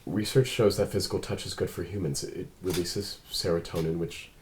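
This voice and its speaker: A man playing an earnest, reserved android detective in a drama read-through, speaking in measured, precise, formal phrases. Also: low-pitched, steady tone, a little hiss or hum, natural voice. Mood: gentle concern. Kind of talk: table read of a drama script.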